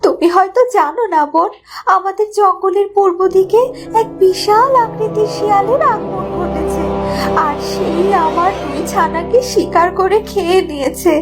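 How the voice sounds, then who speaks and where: A woman's voice: extremely high pitch, normal speed. A woman voicing a small, sorrowful, worried mother bird in a children's cartoon, speaking plaintively. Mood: sad, worried. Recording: faint music behind the voice.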